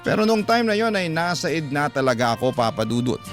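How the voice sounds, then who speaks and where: A man's voice: a little high, big pitch swings, fairly quick. A man with a Filipino accent, narrating an emotional first-person story in radio drama style. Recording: music bed behind narration. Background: music.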